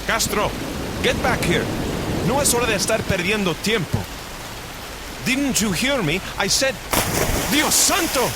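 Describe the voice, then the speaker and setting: Stormbound sea captain. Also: male voice, high-pitched, expressive, unhurried, long pauses. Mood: commanding. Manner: barks orders, slips into Spanish. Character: stern male sea captain, commanding, haunted. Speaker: ship captain. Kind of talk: radio drama acting. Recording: loud rain and storm noise.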